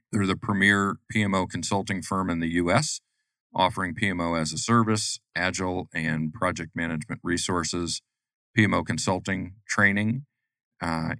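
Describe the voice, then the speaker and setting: Deep-voiced man hosting a podcast. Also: fairly bright tone, no room sound. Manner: upbeat, promotional, with frequent uhs. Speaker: podcast host. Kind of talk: podcast host reading sponsor notes and interviewing.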